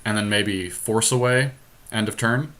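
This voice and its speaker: Low, bright-voiced man talking through gameplay. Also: measured pace. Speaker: podcast host playing a card game. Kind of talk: casual gameplay commentary, thinking aloud.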